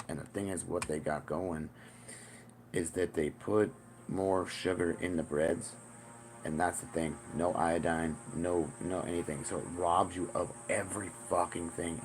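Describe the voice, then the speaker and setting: A man's voice: sits low, creaky, noisy background. A man in his forties talking in a rambling, profane monologue, often repeating himself. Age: 40s. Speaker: self-styled spiritual teacher.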